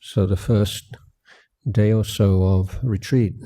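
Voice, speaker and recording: Very slow, mellow, low-key man teaching meditation. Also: fairly even intonation. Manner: measured, gentle, with long pauses. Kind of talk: guided meditation talk at a retreat.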